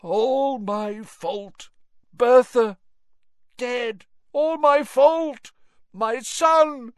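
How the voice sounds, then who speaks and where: A man voicing a delirious older man who rambles in broken, halting fragments. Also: extremely high pitch, smooth, no echo, clean studio sound, voice pushed up, full character voice.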